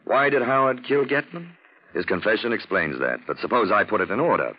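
A suave gentleman detective in an old 1940s radio drama, on a dull vintage recording. His low voice swoops expressively as he lays things out with urbane, witty self-satisfaction. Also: animated, fairly quick, husky. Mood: smug, explaining. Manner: urbane, theatrical, drawling explanations in lettered points.

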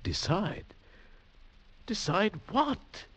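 A man's voice: high voice, very animated, drawn-out words, exaggerated voice. An actor playing a bewildered, haunted traveler who tells his eerie tale in an intense, hesitant, dramatic way. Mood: agitated. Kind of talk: 1940s radio drama acting and first-person narration. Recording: dull vintage radio recording with music.

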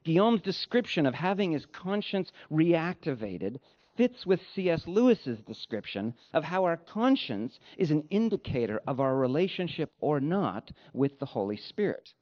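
Male pastor, fairly high voice with very lively intonation, emphatic and measured sermon delivery, slightly muffled recording. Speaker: pastor preaching. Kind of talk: sermon with read-out quotations.

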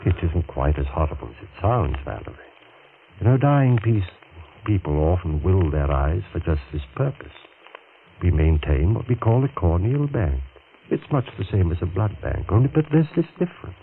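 An actor playing a vindictive, scheming male surgeon in a 1940s radio drama, measured and insinuating. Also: sits low, big pitch swings. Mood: persuasive. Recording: tinny old radio broadcast with faint hiss.

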